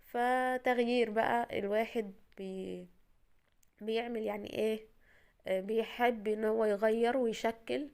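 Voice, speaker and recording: A woman's voice: a little high, fairly even intonation, unhurried, vocal fry, pauses often, darkish tone, clear, resonant tone. A woman from Egypt with an Egyptian Arabic accent, her voice tired from a cold, chattily and reassuringly talking the viewer through a recipe as a home cooking host. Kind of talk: casual step-by-step cooking tutorial.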